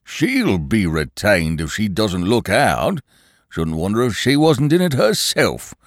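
Grouchy worn-out cop in a storybook, a man doing him, gruff and morose, lowish voice, dry close sound. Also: very animated, slow pace, no room sound. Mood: grumpy, harassed. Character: grumpy, harassed police inspector, man. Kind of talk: audiobook reading.

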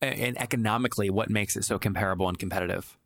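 A man's voice: on the low side, racing, creaky, fairly bright tone, dry recording. An American man hosting a business interview, asking probing questions and restating answers in plain terms. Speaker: podcast interviewer, host.

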